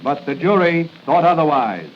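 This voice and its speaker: High-voiced dramatic male radio announcer. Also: big pitch swings, a little hiss or hum. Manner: declamatory, deliberate emphasis. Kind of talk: dramatic 1940s radio narration. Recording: muffled 1940 radio recording.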